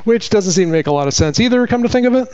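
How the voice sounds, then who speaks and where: A man's voice: high voice, animated, racing, darkish tone, clean tone, highs cut off. A man giving a comedic movie plot recap as a podcast host, with sarcastic, exasperated storytelling.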